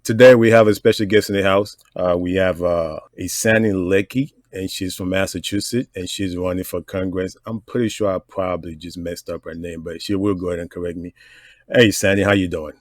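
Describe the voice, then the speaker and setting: A man hosting a podcast interview in a relaxed, easygoing way, often chiming in with short agreements. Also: deep voice, fairly quick, no room sound, studio quality. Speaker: podcast host. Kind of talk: casual interview.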